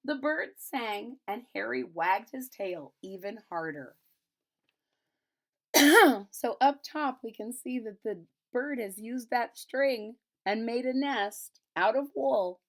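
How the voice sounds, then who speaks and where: A woman reads a children's picture book aloud expressively, pausing to explain and retell it in simple casual words. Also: on the high side. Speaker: storybook reader.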